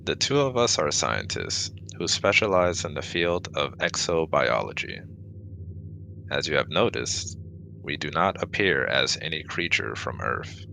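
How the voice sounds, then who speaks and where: A man's voice: extremely low pitch, sing-song, unhurried, creaky, pushed and bright, slight background noise, heavily acted, rough recording. A man playing a formal, easily exasperated alien exobiologist with stiff, precise wording in a scripted ASMR audio roleplay. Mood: formal, reassuring.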